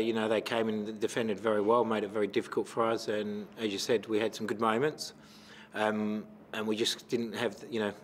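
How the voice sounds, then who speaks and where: Give me a middle-aged Australian man answering press questions after a match, speaking low and even with many fillers into a table mic. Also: a little hiss or hum. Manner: measured, many fillers and restarts. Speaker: football coach. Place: press conference room with sponsor backdrop. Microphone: table microphone.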